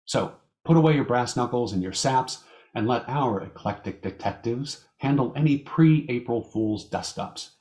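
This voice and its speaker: Male announcer, medium pitch, old-time radio introduction, measured, theatrical, scripted. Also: expressive, a little mellow. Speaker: show announcer.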